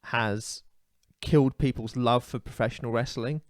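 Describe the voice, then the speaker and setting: A man in casual podcast chat, holding forth with firm opinions and plenty of "um" and "you know". His voice sits at an ordinary middle pitch. It is recorded close and dry, with no room sound. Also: steady tone, normal speed, no echo. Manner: opinionated, ranting, many fillers. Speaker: podcast co-host.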